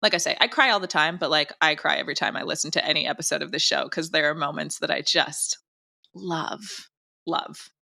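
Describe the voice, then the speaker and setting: A woman's voice: deep voice, a little fast, gravelly, bright, forceful tone. A woman chatting informally in an interview as a creator, speaking in an emphatic, heartfelt way. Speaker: audio drama creator and director being interviewed. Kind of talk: casual interview chat.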